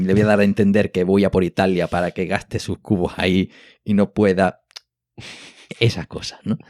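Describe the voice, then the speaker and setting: A man from a podcast panel with a Spanish accent from Spain and a fairly low voice. He explains things casually and with enthusiasm, tacking little questions onto his sentences. The sound is dry and close. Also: darkish tone, no room sound. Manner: enthusiastic, explanatory, often ends with tag questions. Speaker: podcast co-host. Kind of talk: casual board game discussion.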